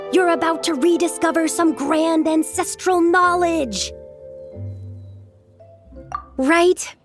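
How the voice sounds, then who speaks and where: A woman playing an earnest, eager young village woman in a video game, speaking expressively. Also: high voice. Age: young adult. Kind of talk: video game character acting. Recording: loud music behind the voice. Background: game music.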